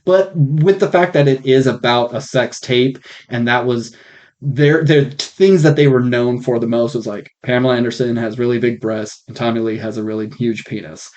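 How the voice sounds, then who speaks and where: A man hosting a podcast, chatting casually in an informal, frank and joking way, on slightly rough mic audio. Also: medium pitch, normal speed, a little mellow, muffled recording. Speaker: podcast host.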